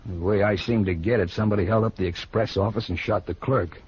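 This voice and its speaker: An actor playing a cool, curt, insolent cowboy suspect with a husky voice in a 1950s radio western. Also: male voice, fairly low pitch, steady tone, fast talker, a little mellow. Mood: cool, defiant. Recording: muffled 1950s radio recording.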